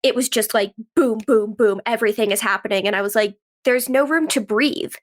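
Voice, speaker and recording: Female interview guest, slightly high pitch, informal conversational delivery with frequent "like". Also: a little fast. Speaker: guest, actress and writer. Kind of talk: casual interview chat.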